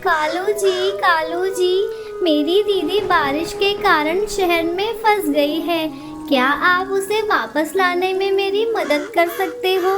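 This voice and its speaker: A woman plays a small, young cartoon bird girl with a very high voice. She pleads and gets excited in a slow singsong. Loud music plays behind her. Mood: anxious, pleading. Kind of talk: Hindi children's cartoon voice acting.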